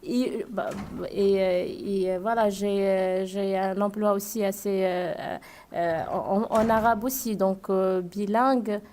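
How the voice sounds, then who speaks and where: Hesitant woman with a mellow voice. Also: mid-range voice, fairly even intonation, a little hiss or hum. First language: Arabic. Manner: halting, many restarts and fillers. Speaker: student guest. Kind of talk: hesitant interview answer.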